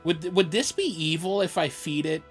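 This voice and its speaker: A woman's voice: low voice, moderate pace, soft background music. A woman chatting casually as she plays a video game and comments on it. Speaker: game player. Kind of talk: casual gameplay chat.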